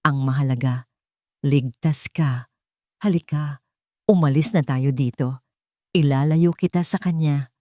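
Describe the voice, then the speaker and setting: A smug, scheming rich man who sneers while pretending to care, played by a woman. The voice is low and dry, read close for a dramatized audiobook. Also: measured pace, pauses often, dark tone, no room sound. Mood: falsely caring. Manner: mocking, falsely caring.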